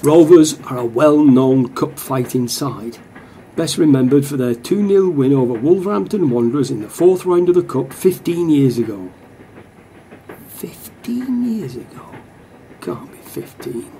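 An actor playing a wistful middle-aged football supporter, musing to himself in a radio play. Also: male voice, a little high, lively intonation, measured pace, mellow, a little hiss or hum, low-quality recording. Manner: reflective, talking to himself.

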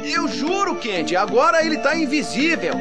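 High-pitched, exasperated goofy cartoon ape. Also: male voice, very animated, moderate pace, over loud music. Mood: bewildered, pleading. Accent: Brazilian. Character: big goofy good-natured ape hero, easily exasperated. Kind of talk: dubbed animated series acting.

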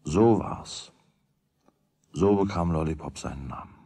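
A man's voice: extremely low pitch, measured pace, mellow, breathy edge, no echo, studio quality, full character voice. A man playing a wry, conversational storyteller, narrating a children's radio play in a musing manner.

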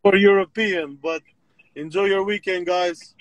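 A man's voice: high-pitched, steady tone, a little slow, muffled recording, a loud crowd. A man in his thirties with an Albanian accent, chatting casually on a video call. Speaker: panel guest.

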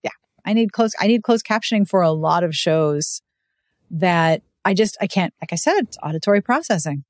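A woman's voice: fairly low pitch, expressive, moderate pace, no echo. Casual woman podcast host, close dry sound. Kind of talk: casual conversational interview.

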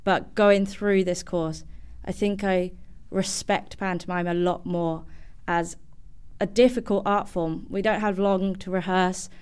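A young woman with a smooth, clear voice that sits a little low. She answers interview questions earnestly and conversationally as a student guest. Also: fairly even intonation, a little slow, a little mellow.

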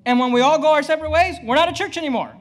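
A high-pitched man in his thirties preaches from the pulpit into a podium mic. His delivery is emphatic and full of rhetorical questions, rising loud and then dropping to a hush, with faint music running underneath. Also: rapid-fire. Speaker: pastor. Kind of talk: fiery sermon. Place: church sanctuary at a pulpit.

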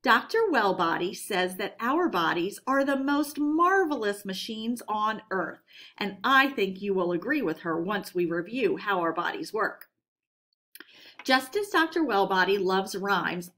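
Slow, expressive woman teacher reading aloud. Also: mid-range voice. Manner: clear, instructional, addressing pupils. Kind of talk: read-aloud lesson for children.

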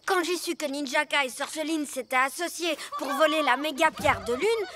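A woman's voice: high voice, moderate pace, background noise, close to an everyday voice. A woman voicing an earnest, indignant young boy superhero who protests that he is misunderstood, in a French cartoon dub. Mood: defensive.

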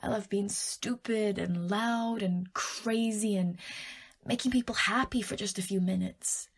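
A woman's voice: mid-range voice, fairly even intonation, unhurried, husky. A woman playing a playful, self-deprecating scriptwriter girlfriend in an intimate audio roleplay, with whiny, theatrical pleading that often drops into murmurs. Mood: wry.

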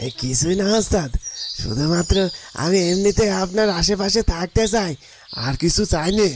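A man acts out a lowly, contrite follower in a cartoon melodrama. He speaks in a deferential, pleading way, with clear chirping of birds and crickets around the voice. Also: normal speed, background noise, lightly acted. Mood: meek, deferential. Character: humble, remorseful male disciple pleading with his master.

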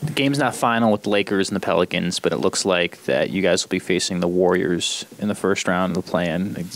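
A man's voice: deep voice, a little fast. A male reporter asking a chatty question at a press conference.

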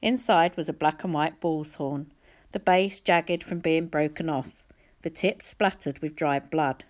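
Casting: female audiobook reader, slightly low voice, narrating a storybook. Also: a little slow. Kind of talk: storybook narration, reading aloud.

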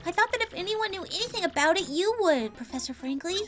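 A woman's voice: very high voice, expressive, fast talker, a bit bright, soft background music. A woman voicing a perky, brainy, sassy young female game creature, with emphatic valley-girl phrasing. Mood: eager.

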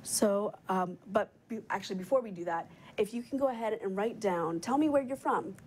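A woman's voice: medium pitch, brisk, a little mellow, breathy edge, low-quality recording. A woman in her thirties hosting a live studio webcast panel, chatty with frequent fillers and restarts, on a lapel mic. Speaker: host, program coordinator. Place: TV studio set.

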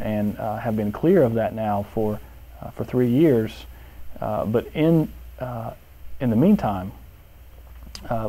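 Hesitant man with a mellow voice. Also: medium pitch, rough recording. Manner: hesitant, many uh fillers. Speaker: seminary professor. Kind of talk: unscripted testimonial. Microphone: lapel mic.

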